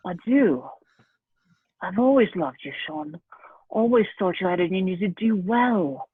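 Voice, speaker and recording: A woman reading the part of an earnest, injured middle-aged stepfather in an amateur table read, with a husky voice. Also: lowish voice, animated, a little slow, dry recording, phone-quality sound. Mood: earnest, confiding.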